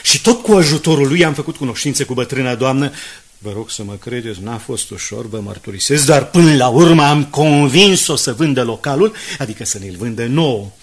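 A man's voice: mid-range voice, expressive, close to an everyday voice. An actor playing a brash, confident schemer of a newspaperman in a radio drama, with an emphatic, persuasive delivery. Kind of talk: 1980s Romanian radio drama acting.

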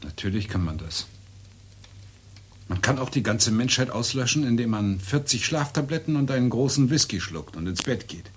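An actor in a German radio play, playing a wary visitor who questions a military operator. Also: male voice, medium pitch, animated, moderate pace, a little hiss or hum, lightly acted. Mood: dry, pointed.

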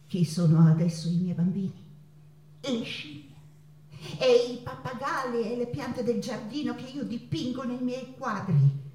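An anguished, passionate woman painter in a theatre monologue, recorded from a distance in a reverberant space. Her voice sits high and moves slowly, halting and repeating words as if confiding a secret. The delivery is intense and stagey. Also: dark tone. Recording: echoey stage, distant mic, guitar behind.

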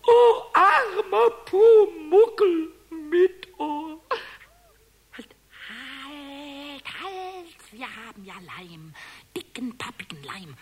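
A cheeky little kobold with a squeaky, very high voice that leaps all over the place. The creature is childlike, clumsy and excitable, stammering and repeating words, in the manner of a children's radio play. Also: male voice, drawn-out words, background noise, exaggerated voice. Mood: tearful, lamenting.

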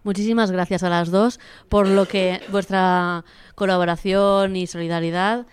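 A woman's voice: mid-range voice, fairly even intonation, a little slow. A woman with a Valencian Spanish accent, interviewing conversationally as a radio co-host. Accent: Valencia region, Spain.

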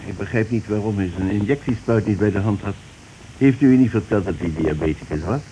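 Stern male doctor, acted by a man, low dark mellow voice, formal, reproachful, radio drama, noisy old recording. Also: a little fast, close to an everyday voice. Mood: grave, reproachful. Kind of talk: naturalistic radio play acting.

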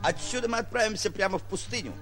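An actor playing a suave, pompous self-styled prince in a 1940s radio play, speaking grandly with drawled hesitations. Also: male voice, high-pitched, animated, fast talker, music in the background. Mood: grand, assured. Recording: muffled vintage 1940s radio recording.

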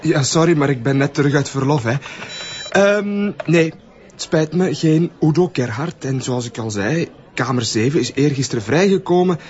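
An actor playing a polite but unhelpful hotel receptionist, hesitant and apologetic, in a TV drama. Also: male voice, a little high, expressive, normal speed, darkish tone, lo-fi sound, natural voice. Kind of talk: TV drama dialogue.

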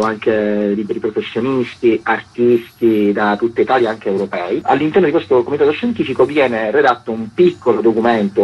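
Husky, low-voiced man, dull recording. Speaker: festival organiser being interviewed. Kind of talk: radio interview. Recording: dull, lo-fi remote radio link.

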